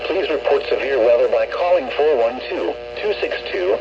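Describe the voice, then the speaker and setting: A synthetic man's voice reading a scripted weather warning bulletin in a formal, flat, clipped way as a radio announcer. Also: mid-range voice, normal speed, creaky. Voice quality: synthetic text-to-speech voice. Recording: muffled, noisy weather radio with hum.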